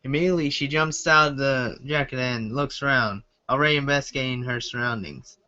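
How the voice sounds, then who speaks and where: The recording is dull and lo-fi. A man with a bright, somewhat sharp voice reads a first-person story aloud. His delivery is amateur and halting, with stumbles over words. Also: medium pitch. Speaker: amateur fan fiction reader.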